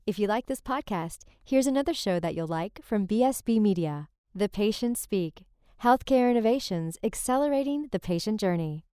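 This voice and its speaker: A woman's voice: medium pitch, animated, moderate pace, warm tone, clean tone, no echo, studio quality. A woman reading a scripted promotional announcement as a podcast announcer.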